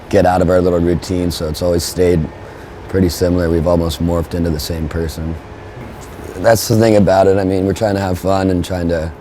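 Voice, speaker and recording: A young man, a band's frontman, talking in a relaxed, rambling way with lots of fillers. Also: low voice, slight background noise, poor audio. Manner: laid-back, rambling, with frequent fillers. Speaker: band frontman. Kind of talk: relaxed interview talk.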